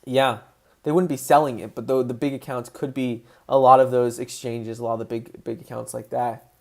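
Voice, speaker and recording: A man hosting a casual livestream, excitable and answering viewers' chat questions as he goes. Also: medium pitch, moderate pace, vocal fry. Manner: excitable, voice rises when passionate.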